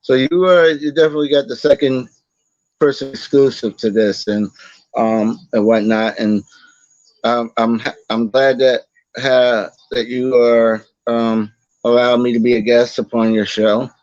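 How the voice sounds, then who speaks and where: A man, a guest telling his story slowly in a casual interview, halting with many fillers and restarts. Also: mid-range voice, steady tone, a little mellow, dry recording, lo-fi sound. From Boston, Massachusetts. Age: 40s.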